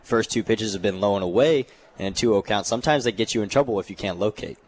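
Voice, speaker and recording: A man's voice: on the low side, fast talker, a little hiss or hum. An American man giving conversational analysis as a color commentator on a live radio baseball broadcast.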